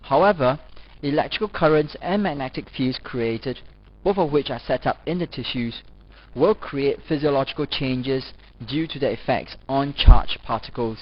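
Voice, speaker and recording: A man reading scripted educational narration as a lecturer, in a formal, measured manner. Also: mid-range voice. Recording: dull, lo-fi voice-over recording.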